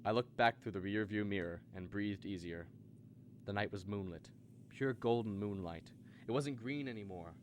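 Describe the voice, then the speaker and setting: An actor playing an anxious, earnest American family man in a mid-century radio drama, with hesitant, halting speech. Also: fairly low pitch, a little hiss or hum, natural voice. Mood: tense narrating.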